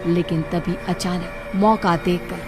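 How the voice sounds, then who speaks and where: A woman's voice: lowish voice, flat delivery, a little slow, music in the background. A woman narrating a scripted horror story as a storyteller.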